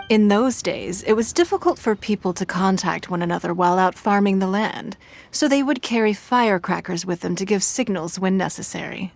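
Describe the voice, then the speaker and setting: Brief: woman voicing a poised, authoritative game noblewoman, calm and formal. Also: medium pitch, creaky, a little hiss or hum, natural voice. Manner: composed, measured and formal. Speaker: city leader. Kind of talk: video game voice acting.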